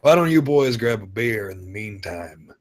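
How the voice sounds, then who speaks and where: A man's voice: fairly low pitch, animated, normal speed. A man playing an easygoing, hospitable father in his fifties in a screenplay table read. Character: easygoing, hospitable father aged fifty-five, Jack.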